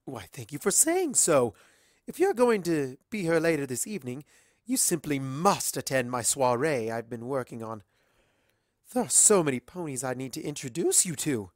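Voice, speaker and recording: A performer voicing an elegant, gracious unicorn mare socialite in a storybook reading, with refined, effusive, drawn-out phrasing. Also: mid-range voice, big pitch swings, no room sound, clean studio sound, lightly acted. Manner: effusive, genteel, drawn-out words.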